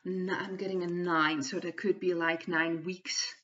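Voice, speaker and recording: A woman from the Netherlands with a Dutch accent, hosting her own channel and talking through a tarot card reading in a hesitant, halting way that often restarts phrases. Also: fairly low pitch, flat delivery, a bit bright. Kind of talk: casual spoken tarot reading monologue. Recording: distant, dull lo-fi mic.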